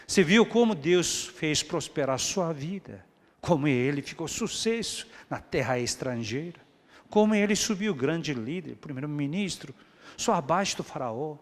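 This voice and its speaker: A male pastor preaches in a lively, sing-song voice that sits slightly high for a man. He swings between quiet, low asides and loud, soaring repetitions. The sound carries the long reverb of a church hall. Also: moderate pace. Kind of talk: sermon.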